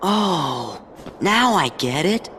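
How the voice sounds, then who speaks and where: A man's voice: a little high, big pitch swings, drawn-out words, bright, forceful tone, slight background noise, full character voice. An actor playing an earnest, upbeat teenage boy hero in a video game cutscene. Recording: long echoing reverb, faint music.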